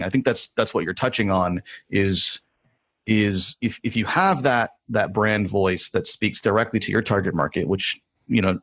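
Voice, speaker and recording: Male host, low and slightly husky, reflective, long winding questions. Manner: thoughtful, restates guest's points, rambling questions. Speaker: podcast host. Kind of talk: interview, asking questions.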